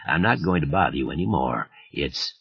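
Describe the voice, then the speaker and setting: A man voicing a menacing, mocking man who talks in a slow, low, easy drawl. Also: expressive, gravelly, husky, full character voice. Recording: muffled lo-fi audio.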